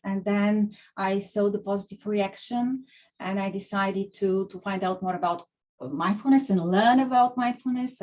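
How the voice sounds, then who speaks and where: A woman's voice: medium pitch, a little mellow. Bulgarian-accented woman, middle-aged, chatty guest speaker on a video call, full of fillers. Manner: chatty, with frequent fillers and restarts. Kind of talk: online talk. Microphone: computer mic on a video call.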